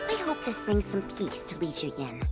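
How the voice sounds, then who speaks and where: An actress playing an excitable, outspoken young woman in a video game, expressive, informal and impulsive. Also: fairly low pitch, normal speed, over loud music. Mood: friendly, relieved. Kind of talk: video game cutscene acting.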